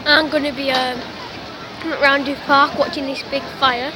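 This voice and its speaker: A woman with a slightly croaky voice, chattering excitedly as she vlogs with a handheld phone at an outdoor bonfire, repeating exclamations and calling people mate. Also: sits high, normal speed. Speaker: vlogger. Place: outdoor bonfire event with a big crowd. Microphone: handheld phone camera. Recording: outdoor phone audio with crowd and noise. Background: crowd chatter and outdoor noise.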